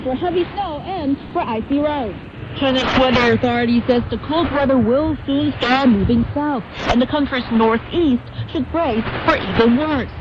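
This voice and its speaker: High-pitched woman reporter on noisy shortwave. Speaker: news reporter. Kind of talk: scripted radio news report. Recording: noisy, muffled shortwave radio reception.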